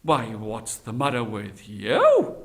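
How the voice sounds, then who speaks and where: A cunning storybook beggar man, acted by a male storyteller, who plays slow-witted. His words come slowly and drawn out, in an innocently puzzled way. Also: lowish voice, huge pitch swings, creaky, voice pushed down, full character voice. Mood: mild, curious. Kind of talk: children's folktale storytelling.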